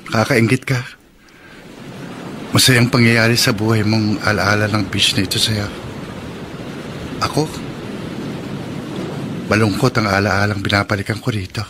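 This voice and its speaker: Radio drama leading man played by an actor: young, Filipino, soft-hearted and melancholy, lower pitch, clear background noise. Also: steady tone, unhurried, pauses often, close to an everyday voice. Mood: wistful. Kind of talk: Tagalog radio drama acting. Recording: noisy background behind the voice.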